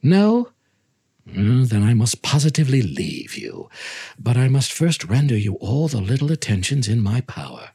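A man voices a cold, vengeful murderer from a gothic tale. The voice is husky and moves dramatically up and down. He is deliberate and taunting, wrapping menace in mock courtesy. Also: medium pitch, huge pitch swings, dark tone, full character voice. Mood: mock concern. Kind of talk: dramatic reading of gothic story.

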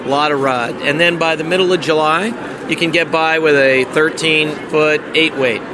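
An American man working in marketing for a fishing lodge, chatting casually in an interview, relaxed, with lots of ums and dry humor. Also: a little high, moderate pace. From United States. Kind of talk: casual interview at an outdoor event. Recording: noisy outdoor street recording.

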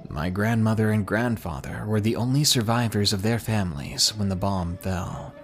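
A man voicing a solemn, quiet-spoken Japanese man in a narrated ghost story. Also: lowish voice, moderate pace, natural voice.

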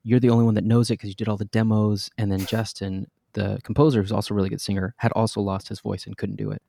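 A man's voice: lowish voice, level tone, fast talker, vocal fry, mellow, no room sound, studio quality. A man who performs on stage, guest on a casual interview, telling stories in a chatty, self-deprecating way and laughing often.

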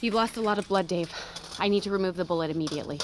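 A woman's voice: lowish voice, rapid-fire, close to an everyday voice. A woman playing a no-nonsense emergency medic in an audio drama, urgent, blunt and clipped, against a noisy background. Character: brisk, no-nonsense woman doing emergency surgery.

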